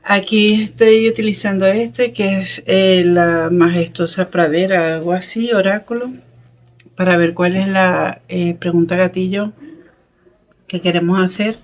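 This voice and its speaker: Woman, unhurried speech, friendly and chatty tarot reader, soft laughs between cards. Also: lowish voice, steady tone. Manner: chatty and affectionate, with frequent fillers and soft laughs. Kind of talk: casual tarot reading for viewers. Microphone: camera set up over a table with cards.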